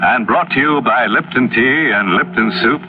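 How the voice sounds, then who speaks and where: A man's voice: medium pitch, normal speed, phone-quality sound, close to an everyday voice. A man playing a ghoulish, sardonic horror host with a sly, mock-polite manner, in 1940s radio style on a scratchy old broadcast. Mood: sly, macabre. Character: ghoulish, sardonic male horror-show host cracking puns.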